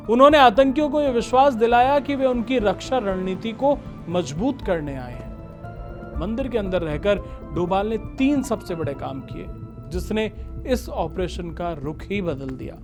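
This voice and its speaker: A man with a fairly high-pitched voice narrates a scripted documentary story. His delivery is emphatic and measured, built like dramatic storytelling. Clear background music plays under the voice. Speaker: narrator of a history story show.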